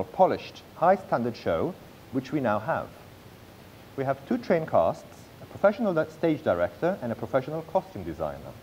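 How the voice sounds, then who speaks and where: Man presenting a scripted pitch, lively intonation, mellow tone, dull lo-fi sound. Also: a little hiss or hum. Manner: reads from a script, some slips and restarts. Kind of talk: read-aloud business pitch. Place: large theatre stage.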